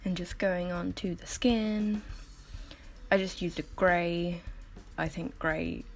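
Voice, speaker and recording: A woman with a croaky just-woke-up voice, giving a chatty, informal voiceover as an artist explaining her process. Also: on the low side, steady tone, slow pace. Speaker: artist making painting process videos. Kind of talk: casual voiceover commentary. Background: music.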